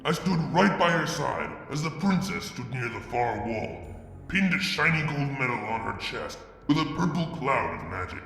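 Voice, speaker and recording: A man narrates a dark fan fiction story in the first person, brooding and deliberate. His voice is gravelly, with lively shifts in pitch. The recording has a long, ringing reverb. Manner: brooding, deliberate, with dramatic drops in loudness. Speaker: fanfiction reader. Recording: reverberant room with long echo.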